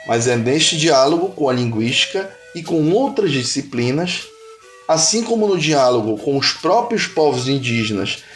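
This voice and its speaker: A Brazilian man reading scripted educational narration in a measured, didactic manner. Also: a little high, very animated, faint music behind.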